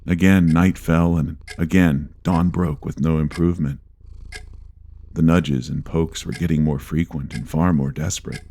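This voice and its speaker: A man reading a first-person horror story aloud in a slow, low and grave delivery. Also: creaky, warm tone, a little hiss or hum. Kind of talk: scripted horror narration.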